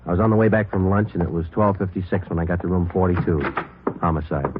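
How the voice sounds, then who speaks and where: An American actor playing a terse, level-headed police detective in an old radio drama, with clipped, deadpan, matter-of-fact delivery. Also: male voice, low voice, fast talker, lightly acted. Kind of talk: 1940s-50s radio drama acting, first-person narration and dialogue. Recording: noisy muffled old radio recording with clicks.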